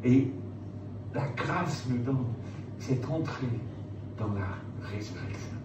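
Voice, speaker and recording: A man's voice: medium pitch, animated, slow pace. A man preaching an evangelical sermon, emphatic, repeating phrases and putting rhetorical questions to his listeners. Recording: roomy, distant mic, loud background noise.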